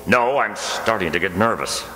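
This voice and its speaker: A man's voice: lowish voice, animated, moderate pace, bright, forceful tone. Man playing a grumpy sarcastic husband, echoey hall. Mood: weary, irritated. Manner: grumbling, sardonic, exasperated complaining. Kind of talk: old-time radio comedy acting. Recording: echoey hall, clear background noise.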